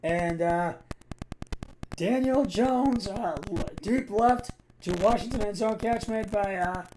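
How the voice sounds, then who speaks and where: Male amateur sports host, raspy mid-high voice, halting and stumbling delivery, noticeable background noise. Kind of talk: amateur football game recap.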